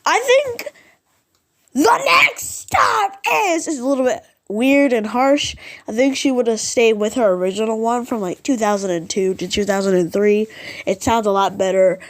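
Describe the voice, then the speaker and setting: A woman with a fairly high voice talks through a homemade vlog. Her delivery is informal and rambling, with an unsure, self-deprecating streak. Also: moderate pace. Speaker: vlogger ranking subway announcements. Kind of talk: casual rambling vlog commentary.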